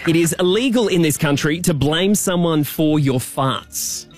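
Higher-voiced man hosting radio banter. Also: unhurried, soft background music. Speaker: radio co-host running a quiz.

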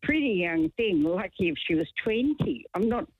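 A woman's voice: mid-range voice, sing-song, normal speed, slightly rough, no room sound. A chatty woman, calling in to a radio show and telling an indignant story. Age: 70s. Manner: chatty, indignant, self-deprecating. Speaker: radio caller. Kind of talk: phone-in chat. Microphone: telephone. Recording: tinny phone line.

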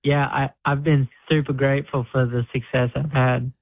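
A man's voice: mid-range voice, moderate pace, creaky, dry recording. Australian man in his twenties on a tinny phone line. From Queensland, Australia. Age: 20s. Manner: relaxed, modest, hesitant with many fillers. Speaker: guest bull rider. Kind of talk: phone interview on radio.

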